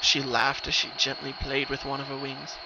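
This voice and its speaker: A man with a mid-pitched voice narrates a horror story in a dramatic reading. His delivery is scripted narration. Clear noise runs behind his voice. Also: completely flat delivery, normal speed, lo-fi sound. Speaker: narrator of horror fan fiction. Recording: noisy recording, rumbling ambient background.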